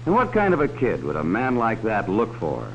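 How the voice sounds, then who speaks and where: A man's voice: on the low side, wildly swooping pitch, moderate pace. A man playing a cautionary narrator of a 1950s educational film, talking directly to children in a folksy way. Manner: folksy, direct address to children with leading questions. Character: cautionary male adult narrator warning children about strangers. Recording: dull, hissy 1950s film soundtrack.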